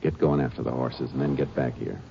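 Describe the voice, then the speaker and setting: A man's voice: rumbling low, fairly even intonation, brisk, gravelly, warm tone, slight background noise. An actor in a 1950s radio drama playing a laconic, dry Texas Ranger who narrates his own case. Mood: calm, tense. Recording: dull old radio broadcast with music.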